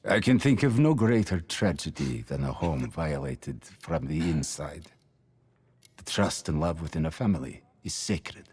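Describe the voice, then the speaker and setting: An actor playing a polite, insinuating and menacing Soviet security chief in a game cutscene, with husky, silky formality. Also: male voice, extremely low pitch, lively intonation, measured pace, a little mellow, exaggerated voice. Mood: silky, probing. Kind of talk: dramatic video game cutscene acting.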